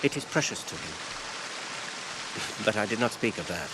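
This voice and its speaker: A troubled British wanderer, acted by a man, earnest and guarded, with very expressive intonation. The radio drama plays over hiss and rushing water. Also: on the low side, natural voice. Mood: guarded, sorrowful. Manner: hesitant, careful, earnest. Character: earnest, troubled small male traveller, guarded yet compassionate. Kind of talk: BBC radio drama acting. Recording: hissy radio recording, loud falling water.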